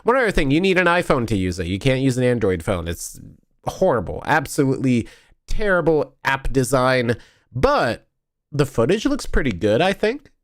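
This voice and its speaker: A man's voice: mid-range voice, big pitch swings, normal speed, no room sound, studio quality. A tired, sniffly man chatting casually on a stream, rambling and correcting himself as he goes. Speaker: vlogger streaming a convention video review. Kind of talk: casual live-stream chat while editing.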